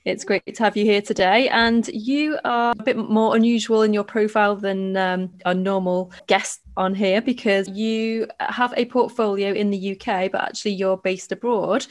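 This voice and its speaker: A woman hosting a property podcast, asking questions with frequent restarts. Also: mid-range voice. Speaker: podcast host. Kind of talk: podcast interview.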